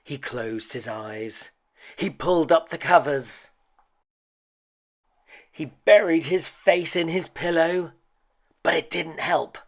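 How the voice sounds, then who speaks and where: A man reading a children's picture book aloud in a dramatic, expressive way. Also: fairly high pitch, takes it slowly, gravelly, long pauses. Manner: dramatic, expressive, with hushed suspenseful dips. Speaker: children's story reader.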